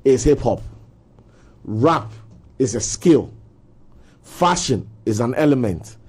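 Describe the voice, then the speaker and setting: A man's voice: mid-range voice, expressive, slow pace, a little mellow, breathy edge. A man with a Ghanaian accent speaking as a panelist in an opinionated discussion, explaining his points emphatically. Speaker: panelist on a culture talk show.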